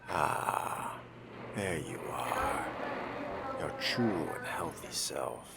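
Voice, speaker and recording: A man with a very deep, creaky voice murmurs in character as a wry, theatrical vampire. He speaks very slowly and whisperingly, over clearly noisy game ambience. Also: wildly swooping pitch. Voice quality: gravelly, whispery. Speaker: player voicing a vampire in a game. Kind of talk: hushed in-character role-play. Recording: noticeable background noise and game ambience.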